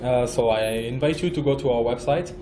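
A man from France with a French accent, giving a conference talk as a project manager, halting, with many fillers and restarts. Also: medium pitch, echoey room, background noise. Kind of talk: conference presentation. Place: conference room.

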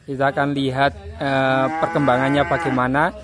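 A man's voice: medium pitch, flat delivery, normal speed. A man giving casual live commentary and questions as host of a livestock vlog. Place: outdoors in a village yard and cattle shed. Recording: outdoor farm, chatter and cattle behind.

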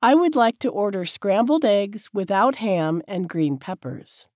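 A woman teacher reading short sentences slowly and clearly, each one said several times for learners to repeat. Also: mid-range voice, expressive, darkish tone, no room sound, studio quality. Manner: careful, clear enunciation. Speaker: English teacher. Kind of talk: slow repeated drill sentences.

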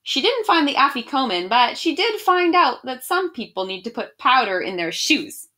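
A woman's voice: high voice, very animated, normal speed, bright, forceful tone. A young adult woman reading a picture book aloud expressively and chatting casually to children from a small room at home. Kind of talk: animated read-aloud and casual address to children.

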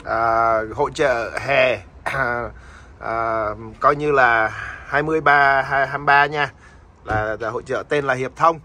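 A man's voice: medium pitch, a little slow, bright, forceful tone. A man speaking informally and a little hesitantly, adding casual promotional comments from behind the camera. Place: outdoors at a building entrance.

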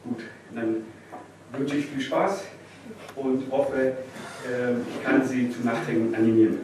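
A man's voice: mid-range voice, fairly even intonation, unhurried. Young man on stage, very echoey. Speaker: poetry slam performer. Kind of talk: live spoken-word poetry slam performance. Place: indoor small venue stage before an audience. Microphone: distant camera microphone. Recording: distant, very echoey, noisy room recording.

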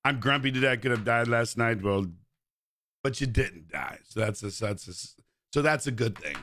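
Crude, bright-voiced male game streamer. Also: medium pitch, animated, moderate pace, slight background noise. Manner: crude, joking banter with chat, frequent swearing. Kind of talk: casual live-stream chat while gaming. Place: indoor room at a gaming chair.

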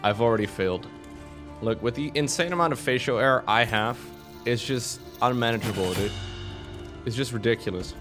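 A man's voice: medium pitch, moderate pace, over music. A man streaming from home, bantering jokingly with chat while testing voice effects on a desk mic. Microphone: desk mic through an audio interface.

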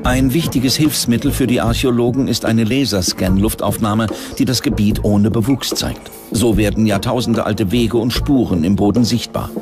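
A man's voice: fairly low pitch, fairly even intonation, moderate pace, hardly any pauses. A man reading scripted documentary narration as the narrator. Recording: music bed under the voice. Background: music.

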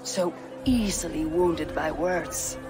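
An actor playing a steely Viking warrior in a video game, with a blunt, dry, confident manner. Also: male voice, a little high, slow. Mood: mocking. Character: steely, taunting Viking warrior, protective of clan. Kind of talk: video game cutscene acting. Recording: music behind the voice.

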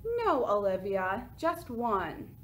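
A woman voicing a patient, loving mother in a picture book she reads aloud, gentle but firm. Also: medium pitch, big pitch swings, takes it slowly, slight background noise. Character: patient, loving mother of a lively little girl.

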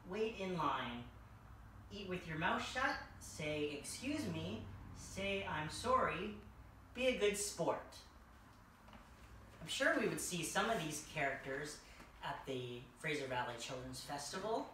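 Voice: mid-range voice, lively intonation, slow, a bit bright. A young adult hosting a children's story time, reading a picture book aloud as a costumed king. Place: large furnished room indoors. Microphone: distant camera microphone. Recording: echoey room, distant mic, faint noise.

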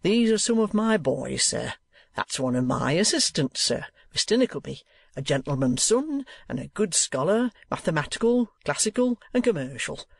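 Man acting a pompous, high-pitched oily schoolmaster. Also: very animated, moderate pace, gravelly, husky, dry recording, heavily acted. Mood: oily, boastful. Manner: pompous, oily sales patter. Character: boastful, ingratiating, hypocritical schoolmaster salesman, middle-aged man.

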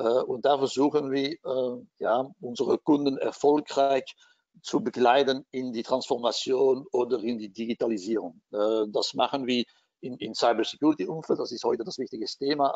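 A man's voice: mid-range voice, creaky, darkish tone, no echo. A Belgian-accented man gives a corporate webcast presentation over a computer mic. He speaks German as a second language, hesitating often with frequent filler sounds. The audio is dull and lo-fi, and the sound is dry. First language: not German. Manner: halting, many filler sounds, non-native grammar. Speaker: company division head presenting a webcast.

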